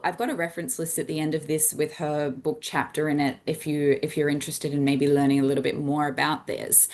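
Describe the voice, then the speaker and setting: An Australian woman in her thirties, a science communicator giving an earnest presentation talk with frequent ums and restarts. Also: sits low. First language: English.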